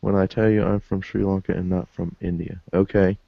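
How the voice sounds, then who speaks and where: A man's voice: deep voice, level tone, brisk, creaky, no echo. A man co-hosting a casual podcast chat, making blunt, sardonic quips. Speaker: podcast co-host. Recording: dry, dull lo-fi podcast audio.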